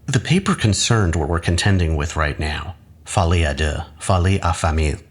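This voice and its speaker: A low-voiced, husky, condescending husband. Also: male voice, animated. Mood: reminiscing, lecturing. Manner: measured, coaxing, patronizing. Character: manipulative husband, an academic doctor, calmly condescending and persuasive. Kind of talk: audio drama acting.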